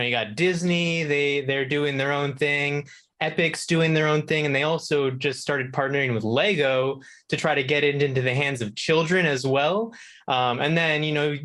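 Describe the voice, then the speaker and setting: A man's voice: fairly high pitch, fairly bright tone. A man speaking as a show guest, explaining things casually and thinking aloud. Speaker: video game contributor, show guest.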